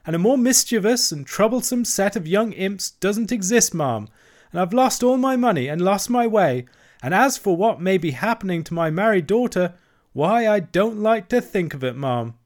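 A man's voice: high voice, expressive, normal speed, pitched above the performer's own voice. A man voicing a boastful male toad disguised as a washerwoman, in a raised put-on voice, airy and bragging. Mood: wheedling. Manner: airy, bragging, then nervous.

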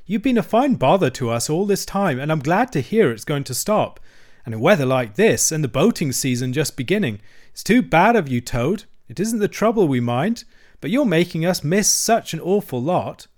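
A man's voice: mid-range voice, lively intonation, darkish tone, natural voice. Man playing hearty, good-natured water rat. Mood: hearty, blunt. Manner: hearty, brisk, plain-spoken. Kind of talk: storybook character acting.

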